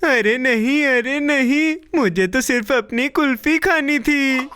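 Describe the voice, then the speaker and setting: A woman's voice: high voice, takes it slowly, noisy background. A woman voicing an eager, impatient, food-loving little boy in a children's cartoon dub, with a childlike, plaintive, exclaiming delivery.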